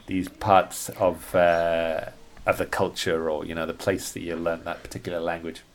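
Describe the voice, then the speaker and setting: A man with a fairly low voice talks in a casual podcast conversation. He speaks as a birding tour guide, in a conversational way, often rephrasing as he thinks aloud. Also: monotone, normal speed.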